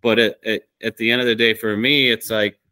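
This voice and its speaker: A man's voice: lowish voice, flat delivery, a little fast, bright, forceful tone, clean tone, dry recording, clean studio sound. A man, perhaps in his thirties, chatting casually and candidly as an interview guest, rambling a little on a video call. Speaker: podcast guest, small business woodworker. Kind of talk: casual conversation. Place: small woodworking shop, indoors. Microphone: video call microphone.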